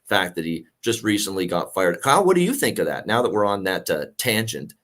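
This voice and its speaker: A man with a gravelly voice worn from a night of singing, hosting a sports betting show in casual, rambling talk. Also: sits low, sing-song, brisk, fairly bright tone.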